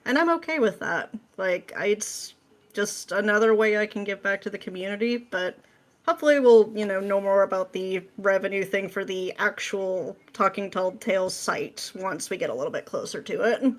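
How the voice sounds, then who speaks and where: A woman chatting casually as a podcast guest, with an animated, informal way of talking. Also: mid-range voice, normal speed. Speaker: podcast guest, script writer. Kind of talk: casual interview chat.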